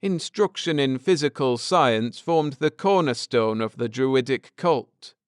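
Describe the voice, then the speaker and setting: A man voicing a grim, intense space-travelling husband in an audiobook, lecturing gravely and earnestly with drama. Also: mid-range voice, animated, unhurried, no room sound, studio quality, close to an everyday voice. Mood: grave, explaining.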